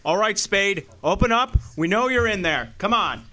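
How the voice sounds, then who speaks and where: An actor playing a suspicious, badgering police lieutenant in a hard-boiled radio drama, curt and confrontational. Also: male voice, high voice, lively intonation, moderate pace, sharp tone, slight background noise. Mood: demanding.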